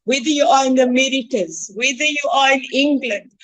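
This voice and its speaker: A woman with a South African Cape Flats accent, a guest speaking in a slow, declaiming, preacherly way. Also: high-pitched, rough recording. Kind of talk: impassioned online discussion.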